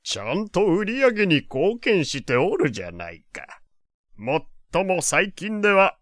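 A wealthy elderly gentleman in an audio drama. His fairly high voice rises and falls freely as he speaks in grand, archaic phrases with a teasing courtesy. The sound is close and dry. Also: sing-song, unhurried, dry recording, full character voice. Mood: self-satisfied. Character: elderly male wealthy patron, genteel, teasing, old-fashioned. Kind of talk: Japanese audio drama acting.

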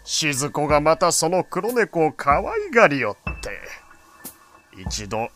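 Pompous, doting old father, lively pitch. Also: slow, full character voice. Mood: grumbling, explaining. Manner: old-fashioned pompous speech. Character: proud, doting old father, wealthy and long-winded. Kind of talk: Japanese audio drama acting.

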